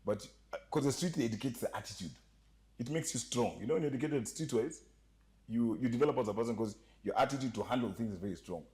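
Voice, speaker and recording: A man with a slight Ugandan accent telling stories as a podcast guest, animated and joking, often laughing. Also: mid-range voice, fast talker, a little hiss or hum. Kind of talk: storytelling interview chat.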